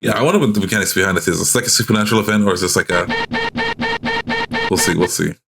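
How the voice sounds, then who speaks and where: Low-voiced man reacting casually, thinking aloud. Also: expressive, vocal fry, loud music behind the voice. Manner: halting, informal, thinking aloud. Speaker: streamer reacting to horror videos. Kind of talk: casual live reaction commentary mixed with reading captions aloud.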